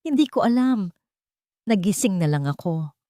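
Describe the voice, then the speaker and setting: A woman's voice: mid-range voice, lively intonation, moderate pace, dark tone, dry recording, clean studio sound, close to an everyday voice. A woman voicing a dazed, confused husband in an audio drama, sounding strained and bewildered. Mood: panicky.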